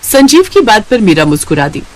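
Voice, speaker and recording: A woman's voice: medium pitch, big pitch swings, fairly quick. A woman reading scripted narration for a moral family story.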